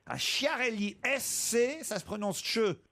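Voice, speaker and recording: A man's voice: very high-pitched, measured pace, bright, forceful tone. A middle-aged man hosting a comedy radio show, reading listener mail aloud in a playful way. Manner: playful, reads jokes aloud with mock voices.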